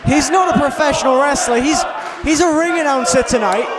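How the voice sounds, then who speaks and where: High-pitched Welsh wrestling commentator, a man. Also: brisk, heavy background noise. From Wales. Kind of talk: excited live sports commentary. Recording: loud crowd all around. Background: live wrestling crowd.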